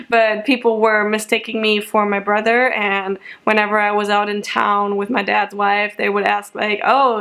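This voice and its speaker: A woman's voice: medium pitch, fairly bright tone. A young German woman chatting casually to camera, rambling and laughing often. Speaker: vlogger.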